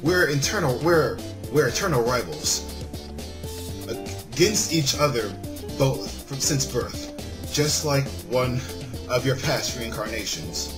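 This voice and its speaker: A man's voice: mid-range voice, animated, takes it slowly. A man voicing a brash, competitive young male friend in a visual novel, goading and emphatic. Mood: cocky, goading. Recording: music playing behind the voice.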